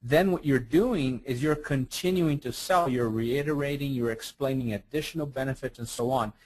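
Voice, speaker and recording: A man co-hosting a webinar, adding a clarification at a measured pace. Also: mid-range voice, darkish tone, rough recording. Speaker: webinar co-host. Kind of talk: recorded webinar teaching.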